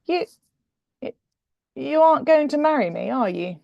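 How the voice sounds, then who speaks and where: A woman's voice: sits high, animated, normal speed, gravelly, no echo, highs cut off, voice pushed up. A woman voicing a bold, teasing, elegant young Regency lady in a romance audiobook, cool and insistent. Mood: pleading.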